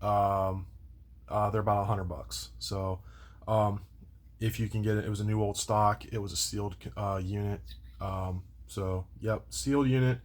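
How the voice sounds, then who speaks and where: A man's voice: fairly low pitch, fairly even intonation, unhurried. A man hosting a casual, unscripted unboxing and review, rambling and circling back to repeat his points. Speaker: video host doing product unboxing and review.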